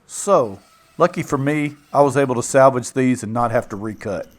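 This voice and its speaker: A man's voice: mid-range voice, normal speed. A man casually narrating his own build project in a plainspoken, folksy, self-deprecating way. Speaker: woodworking video maker and narrator.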